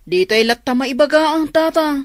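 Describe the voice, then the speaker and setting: A woman's voice: high-pitched, lively intonation, normal speed, dry recording, studio quality. An actress playing a frank, concerned young Filipino woman in a radio drama. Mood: placating.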